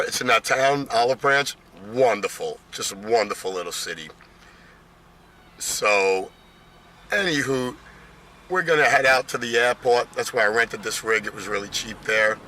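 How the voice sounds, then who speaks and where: A man in his fifties talks casually to his vlog audience in a hoarse, mid-pitched voice. His delivery is folksy and chatty. Clear noise from traffic and the outdoors runs behind him. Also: sharp tone. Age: 50s. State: hoarse, losing voice. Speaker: vlogger. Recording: noisy car and outdoor ambience.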